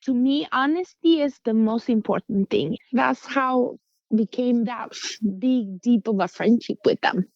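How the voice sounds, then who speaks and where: Slow, earnest woman from Guatemala. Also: a little high, a little mellow, dry recording. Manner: earnest, heartfelt, simple second-language phrasing. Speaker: listener commenting. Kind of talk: personal testimony. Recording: dull lo-fi recording.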